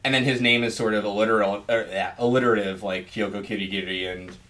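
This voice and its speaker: A man's voice: fairly low pitch, fairly even intonation, quick pace, a bit bright. A man hosting a podcast, chatting casually and rambling with lots of "like" and "you know". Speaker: podcast host, interviewer.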